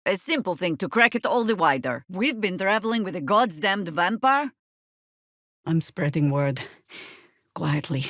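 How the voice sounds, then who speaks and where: An actress playing a weathered older female druid in a video game, wry and commanding, with a dry, deliberate delivery. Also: fairly low pitch, animated, fairly bright tone, no room sound. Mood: wry, measured. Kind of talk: dramatic game dialogue.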